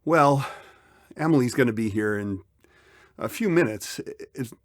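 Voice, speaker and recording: A man's voice: medium pitch, lively intonation, a little slow, no room sound, clean studio sound, close to an everyday voice. An actor playing an awkward, flustered middle-aged father in an audio drama, speaking hesitantly.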